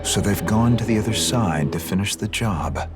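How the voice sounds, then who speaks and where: A man playing a grim, coldly pragmatic hunter in a video game, speaking in a measured, formal, stern way. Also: on the low side, lively intonation, natural voice. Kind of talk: dramatic video game voice acting. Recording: loud music under the voice.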